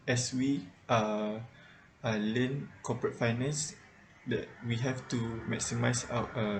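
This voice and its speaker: A man in his twenties with a Malaysian accent giving a student presentation haltingly, repeating words as he goes. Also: mid-range voice. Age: 20s. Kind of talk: group project presentation. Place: small plain indoor room. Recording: clear background noise, room ambience.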